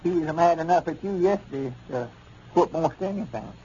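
An actor playing a plain-spoken, peaceable old Southern country grandpap in folksy 1930s radio comedy. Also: male voice, a little high, animated, moderate pace, dark tone, natural voice. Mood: reassuring. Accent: rural Southern US. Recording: dull, noisy 1930s radio recording.

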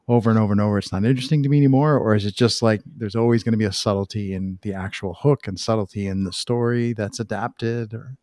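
A man's voice: on the low side, fairly even intonation, quick pace, few pauses, warm tone, no room sound. Casual male interviewer, close dry sound. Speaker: interviewer, host.

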